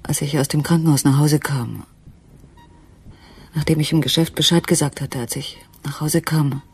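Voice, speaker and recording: Very breathy, weary wife. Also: female voice, low voice, steady tone, normal speed, full character voice. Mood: weary, troubled. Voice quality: very breathy, half-whispered. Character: weary, troubled wife recounting a long day. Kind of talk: German radio drama monologue.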